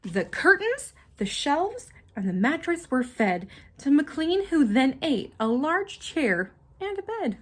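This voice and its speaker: A woman reading a children's story aloud in an expressive, dramatic manner, with simple asides for young listeners. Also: high voice, slow pace. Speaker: storybook reader.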